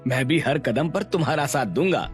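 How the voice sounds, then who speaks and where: A man playing a gentle, supportive husband in a cartoon. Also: a little high, animated, normal speed, soft background music, natural voice. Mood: encouraging. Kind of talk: scripted cartoon dialogue.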